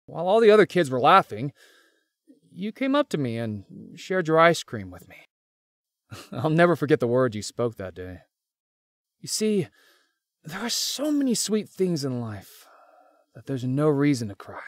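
An actor voicing a devoted, earnest young man in an anime-style romance game, speaking haltingly. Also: on the high side, sing-song, no room sound, clean studio sound. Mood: reflective, tender. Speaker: voice actor in a visual novel.

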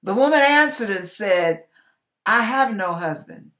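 Older woman, dramatic Bible reading, very animated intonation, slow pace, emphatic stretched words, deliberate pauses. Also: medium pitch. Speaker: online preacher reading scripture aloud. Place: small indoor room with curtain behind.

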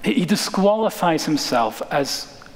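An American man in his thirties preaching a sermon as a pastor, dramatic and emphatic, with deliberate pauses and repeated phrases, in a large church. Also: a little high, very animated. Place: large church sanctuary, indoors, preaching from the stage. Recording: reverberant church hall.

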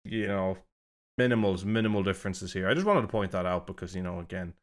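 A man's voice: deep voice, fast talker. A man hosting a music reaction video, talking casually and critically, rambling and breaking off mid-sentence. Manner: rambling, self-interrupting, critical.

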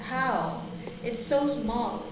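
A woman in her twenties with a fairly high voice speaks slowly and casually as a reaction host. She is blunt and sweary, repeating words for emphasis. The room is echoey, with noticeable noise. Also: expressive. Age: 20s. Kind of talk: casual spoken reactions while watching a show. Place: indoor room, plain wall. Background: show dialogue playing.

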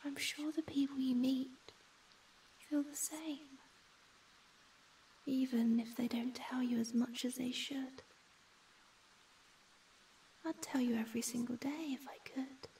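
Casting: female performer for a shy young female ghost, high, mellow whisper, tender and hesitant, ASMR roleplay. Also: fairly even intonation, slow pace, long pauses, far from the mic, a little hiss or hum, rough recording. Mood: tender, soothing. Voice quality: soft, breathy, whispery.

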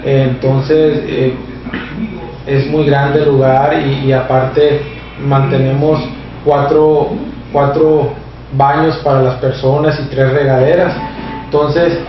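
A man's voice: medium pitch, level tone, slow pace, music in the background. Hesitant man, echoey and distant. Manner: hesitant, many fillers and restarts. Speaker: shelter administrator. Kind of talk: casual interview explanation. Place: small office with a computer, indoors. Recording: distant, echoey and dull camera audio with background noise.

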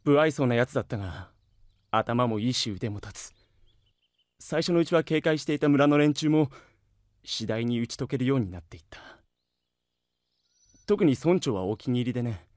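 A man's voice: medium pitch, expressive, moderate pace, pauses often, a little mellow, dry recording, clean studio sound, close to an everyday voice. A man playing a defiant, bitter young villager in an anime audio drama. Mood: bitter, sullen.

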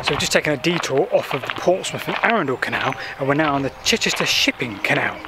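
A man's voice: fairly high pitch, lively intonation, fast, over music. A man, explaining local history in a chatty way while walking outdoors with a handheld camera. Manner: chatty, explanatory, with thinking-aloud fillers. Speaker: history vlogger. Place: outdoors by a canal path and beach. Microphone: handheld selfie camera at arm's length. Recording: faint outdoor noise.

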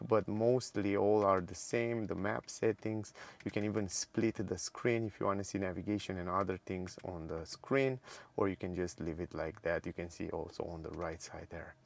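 Low-voiced man giving a car screen tour. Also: creaky. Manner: non-native English with small grammar slips, many "uh" fillers, enthusiastic. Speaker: car reviewer. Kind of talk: walkaround car review with screen demo. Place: inside a car.

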